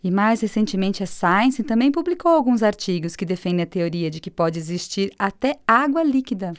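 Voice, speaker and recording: Clear-voiced Brazilian astronomer, played by a woman. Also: medium pitch, lively intonation, normal speed, no echo, clean studio sound, lightly acted. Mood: patient explaining. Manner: explanatory, didactic. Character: knowledgeable woman astronomer, patient and friendly. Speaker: actor in an educational radio drama. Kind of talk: scripted conversational radio drama.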